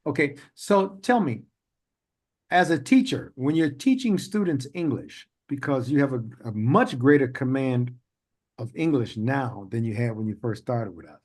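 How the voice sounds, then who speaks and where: Man hosting a podcast, rambling storyteller, laughs a lot, mid-pitched voice. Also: animated, normal speed, a little mellow. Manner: rambling, chatty storyteller who laughs often. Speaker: podcast host. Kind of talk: casual interview chat.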